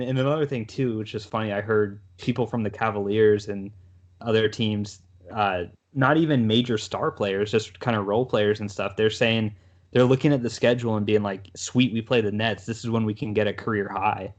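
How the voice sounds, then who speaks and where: A man with a fairly low voice hosts a casual sports talk show. He speaks conversationally, laying out topics for the others to discuss. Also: steady tone, moderate pace. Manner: conversational, posing questions to co-hosts. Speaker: sports podcast host.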